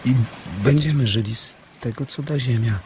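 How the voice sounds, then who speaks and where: An actor playing a simple-minded, trusting grown farmhand in a radio drama, childlike and pleading. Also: male voice, medium pitch, normal speed, background noise, close to an everyday voice. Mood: hopeful, eager. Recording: music clearly behind.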